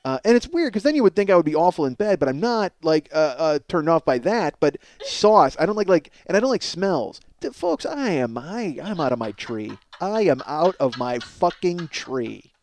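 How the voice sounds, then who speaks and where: A man's voice: a little high, big pitch swings, normal speed, hardly any pauses, a little mellow, no echo. A man hosting a comedy podcast in a rambling, self-mocking monologue, ranting with profane exasperation.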